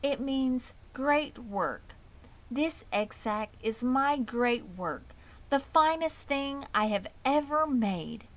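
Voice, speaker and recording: A woman voicing a wise, dignified, ageing female spider in a storybook, gentle, weary and composed, with slightly formal speech. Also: sits high, expressive, slow, voice pushed up. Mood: weak, proud.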